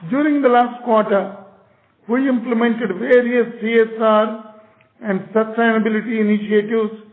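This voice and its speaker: Indian company director guy, high voice, tinny phone audio, reading formal earnings remarks. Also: steady tone, moderate pace.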